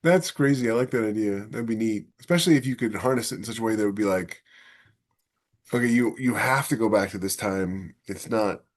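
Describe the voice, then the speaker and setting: A man's voice: lowish voice, fairly quick. A man co-hosting a podcast, talking casually and thinking aloud as he discusses science fiction ideas. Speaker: podcast co-host.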